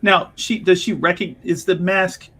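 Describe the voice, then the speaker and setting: Husky, higher-pitched male tabletop player. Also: steady tone, measured pace, pushed and bright. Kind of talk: improvised roleplay chat.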